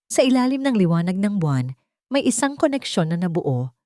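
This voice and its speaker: A woman narrating a scripted romance drama in a calm, measured way. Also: on the low side, no room sound, clean studio sound.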